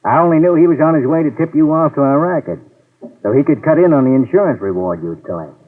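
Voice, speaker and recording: An actor playing a tough, rough-spoken male crook in a 1950s radio drama, with gruff, ungrammatical talk. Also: on the high side, lively intonation, fast. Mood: surly. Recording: tinny old radio broadcast.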